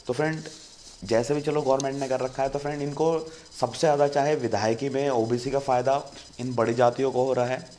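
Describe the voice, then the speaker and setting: A man's voice: mid-range voice, steady tone, a little fast, mellow, a little hiss or hum. Casual talking man, faint crickets behind. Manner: conversational, keeps saying "friend" to viewers. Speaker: YouTube channel host. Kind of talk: casual explainer monologue.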